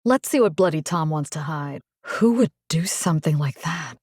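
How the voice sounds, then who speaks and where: A woman's voice: low-pitched, expressive, normal speed, a little mellow, breathy edge, no room sound, studio quality. An actress voicing a resolute, caring, plain-spoken young woman in a video game, earnest and conversational with a wry edge. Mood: wry, defiant. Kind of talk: acted video game character dialogue.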